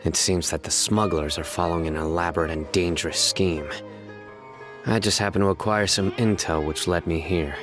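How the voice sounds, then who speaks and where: Man as game lawman, low voice, stern, controlled, serious, soft music behind. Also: steady tone, moderate pace, lightly acted. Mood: serious, calm. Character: stern, composed young male lawman of few smiles. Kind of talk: video game voice acting.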